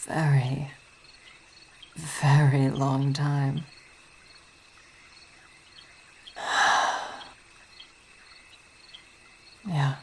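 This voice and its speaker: A woman voicing a weary, devoted vampire ruler and wife in an ASMR roleplay, speaking softly, often in a whisper, with halting, reflective phrasing and sighs. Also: very deep voice, a little hiss or hum, full character voice. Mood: tender, wry.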